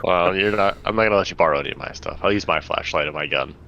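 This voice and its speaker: A deep-voiced man with a forceful, bright edge plays a tabletop roleplaying game, chatting casually in character. His recording is a bit dull, with faint noise. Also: very fast, gravelly.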